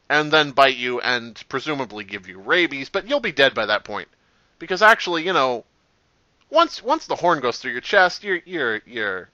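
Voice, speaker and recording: Man doing a nervous phone-message employee, bright sharp voice, hesitant rambling, falsely reassuring, horror-game parody, dull lo-fi mic. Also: mid-range voice, dry recording. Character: nervous, rambling phone-message guy briefing a doomed night guard. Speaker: pizzeria employee leaving a recorded message. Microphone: cheap replacement microphone. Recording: dull lo-fi cheap microphone, telephone-like.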